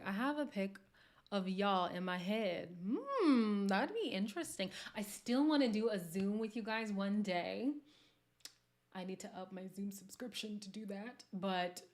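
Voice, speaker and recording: A woman from Montreal talks openly on her livestream, her pitch sitting in an ordinary middle range. She chats casually with viewers, answering their comments and breaking into frequent laughter. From Montreal, Canada. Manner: candid, conversational, laughs often. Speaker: livestream host.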